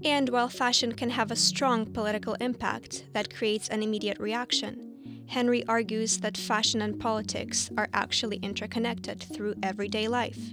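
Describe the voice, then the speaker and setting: A woman narrating part of a news feature from a script, reading carefully and in a measured way. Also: on the high side, fairly even intonation. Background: background music.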